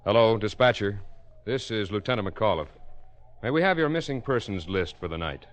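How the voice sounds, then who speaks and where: A man's voice: fairly low pitch, expressive, moderate pace, close to an everyday voice. An actor playing a terse, commanding male police lieutenant in an old radio crime drama. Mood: curt. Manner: terse, matter-of-fact, authoritative. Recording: faintly noisy old radio recording.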